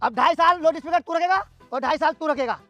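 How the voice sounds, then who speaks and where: A man's voice: very high voice, fairly quick, bright, forceful tone, no echo, highs cut off, faint music behind, heavily acted. A man playing a bossy village official in a comedy skit, scolding and declamatory.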